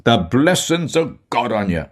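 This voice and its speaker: A man's voice: mid-range voice, big pitch swings, measured pace, voice pushed up. A man voicing a brash, mocking young male medical student with a theatrical, mock-solemn manner. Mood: boisterous.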